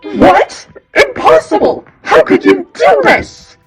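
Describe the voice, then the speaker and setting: A woman playing a haughty, power-hungry sorceress villain in an audio drama, theatrical and menacing with drawn-out threats. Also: extremely high pitch, very animated, soft background music, heavily acted. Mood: shocked outrage.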